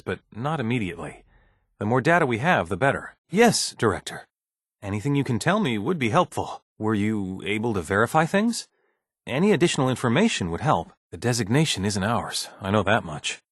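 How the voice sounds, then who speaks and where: An actor playing a mild, bureaucratic scientist in a video game, polite, measured and slightly uneasy, with a hesitant, deferential manner. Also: male voice, lowish voice, very animated, no room sound, studio quality. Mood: analytical, guarded. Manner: hesitant, deferential, measured office-professional speech. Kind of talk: video game dialogue acting.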